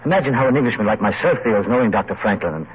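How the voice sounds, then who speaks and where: Earnest radical idealist, muffled radio. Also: male voice, lowish voice, lively intonation, racing, breathy edge. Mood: indignant. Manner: intense, declamatory. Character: earnest, impatient, idealistic English radical pamphleteer. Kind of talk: 1940s radio drama acting. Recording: muffled, noisy vintage radio recording.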